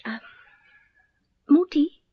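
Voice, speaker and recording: An actress playing a gentle, anxious wife in a radio drama, earnest and pleading, with a breathy voice. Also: female voice, very slow. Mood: concerned. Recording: dull radio recording.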